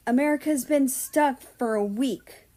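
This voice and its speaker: Audiobook role: female guardian spirit, bitter and self-blaming, pitched up, snapping sarcasm played by a woman narrator. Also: measured pace, dark tone. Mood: exasperated, worried.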